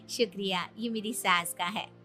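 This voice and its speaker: A woman's voice: medium pitch, wildly swooping pitch, slow pace, pushed and bright, faint music behind, heavily acted. Woman playing an anxious, placating mother. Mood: polite, uneasy. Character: anxious, accommodating middle-aged mother of the bride.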